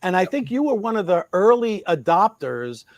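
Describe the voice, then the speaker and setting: An eager male co-host with a higher-than-average pitch stumbles over repeated words as he praises his guest and builds to a question. The recording is dry and close-miked. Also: sing-song, no echo. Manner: enthusiastic, halting with repeated words. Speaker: show co-host. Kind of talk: casual interview chat.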